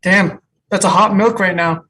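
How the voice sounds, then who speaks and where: An actress playing an easygoing, wry job applicant in a sitcom table read. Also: female voice, medium pitch, steady tone, moderate pace, a bit bright, close to an everyday voice. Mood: wry, playful. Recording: distant home microphone.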